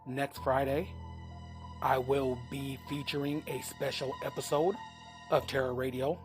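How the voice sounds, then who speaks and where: A man in his fifties giving a deliberate, scripted introduction as host of an old-time radio horror show. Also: mid-range voice, little pitch movement. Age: 50s. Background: faint music.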